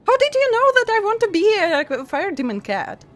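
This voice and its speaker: A young woman streaming a game and chatting casually with her viewers, giggling often and pausing to restart her sentences. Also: very high voice, sing-song, soft background music. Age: young adult. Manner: giggly, halting with many pauses and restarts.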